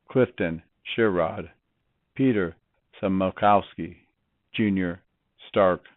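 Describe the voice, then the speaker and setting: A man's voice: low voice, no echo. A man formally reading names for a memorial roll call, on a narrow recording. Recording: narrow, phone-like sound.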